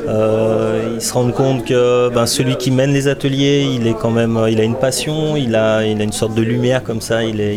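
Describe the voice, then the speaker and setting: Thoughtful man answering an interview. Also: medium pitch. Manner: thoughtful, searching for words.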